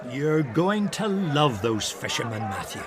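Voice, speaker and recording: A snobbish, mocking man at a feast in a radio drama. He chuckles and his voice leaps about in pitch. The scene carries a clear noisy background. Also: big pitch swings, a little slow. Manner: sneering, amused, chuckling. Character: mocking older man, snobbish party guest.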